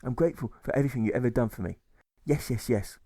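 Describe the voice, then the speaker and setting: A man reading a gentle, apologetic young pacifist with earnest, repetitive pleading in an audiobook. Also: mid-range voice, quick pace, slightly rough, no room sound. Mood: serene, earnest.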